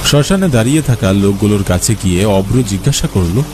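A man's voice: fairly low pitch, expressive, fast talker. A man reading a scripted horror story as its narrator, with music behind him. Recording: loud music under the voice. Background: loud background music.

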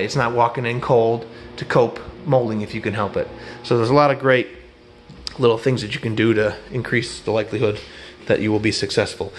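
Folksy middle-aged carpenter explaining trim work. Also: male voice, medium pitch, slight background noise. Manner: rambling, folksy, self-correcting explanations. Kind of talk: casual hands-on instruction. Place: empty apartment room under renovation, indoors. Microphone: head-mounted action camera.

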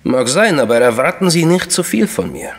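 An actor playing an enigmatic Russian official in a crime radio drama, courteous, deliberate and coolly menacing. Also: male voice, medium pitch, very animated, gravelly, husky, long reverb tail, heavily acted. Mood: courteous, guarded.

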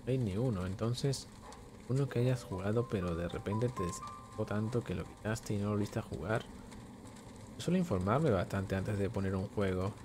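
Young male streamer from Venezuela, easy conversational delivery, desk mic at home, soft game music behind. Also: lowish voice, normal speed. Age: young adult. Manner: chatty, warm greetings to viewers, reads chat aloud. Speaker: gaming streamer. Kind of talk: live gameplay stream, answering chat. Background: faint game music.

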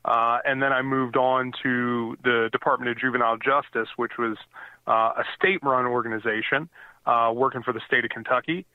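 A man's voice: medium pitch, fairly even intonation, moderate pace, dry recording. A man in his forties, from the American East Coast, talks loosely and keeps circling back with fillers and restarts. His voice comes over a thin, phone-like line with no room sound at all. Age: 40s. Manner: rambling, many fillers and restarts. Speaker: guest author. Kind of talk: casual interview conversation. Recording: dry tinny phone-like line.

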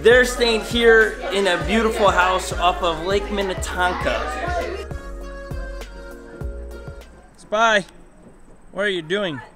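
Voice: mid-range voice, expressive, slow. A vlog host chatting casually to camera in a jokey, playful way. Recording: loud background music. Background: music.

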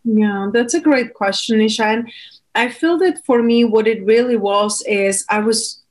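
A woman's voice: on the high side, a little slow. A woman in her thirties with a Czech accent, a coach talking openly as a guest on a video-call interview. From Czech Republic. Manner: earnest, open, gestures with hands. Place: small home room indoors. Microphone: studio mic on video call.

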